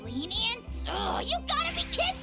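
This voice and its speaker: A woman voicing a tiny, childlike, excitable fairy companion in an anime game, exclaiming with a squeaky voice. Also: a little slow, creaky, soft background music, exaggerated voice. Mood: flustered. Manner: exclamatory, refers to self in third person.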